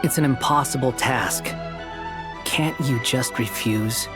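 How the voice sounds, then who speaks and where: A man's voice: medium pitch, slow pace, music in the background, natural voice. An actor playing a blunt, aloof young man in a fantasy game, with curt, dry, challenging delivery. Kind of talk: scripted game dialogue.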